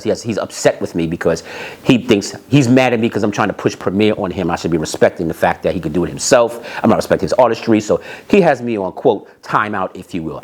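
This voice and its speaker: A man telling anecdotes in an animated, emphatic way, repeating phrases and acting out bits of dialogue. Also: on the low side. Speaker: storyteller, music industry executive.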